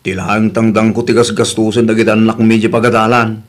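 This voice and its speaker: A man's voice: fairly low pitch, little pitch movement, quick pace, natural voice. A man playing an earnest, anxious husband and polite son in a radio drama. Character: worried husband of an overseas worker, polite son. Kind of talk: Ilocano radio drama acting.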